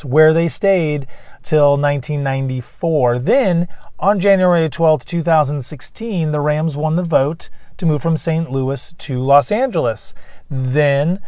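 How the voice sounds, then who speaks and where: Hoarse man hosting a fan vlog. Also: on the high side, darkish tone, no echo. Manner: chatty, many fillers, throat clearing. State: losing his voice, getting sick. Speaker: YouTube fan channel host. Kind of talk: casual sports preview vlog.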